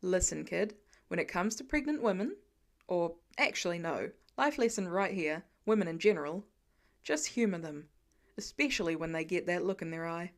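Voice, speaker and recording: Woman as fatherly man, lowered pitch, colloquial, emphatic, storybook mentor. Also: expressive, normal speed. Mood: wry, advising. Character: adult man, casual fatherly mentor giving advice.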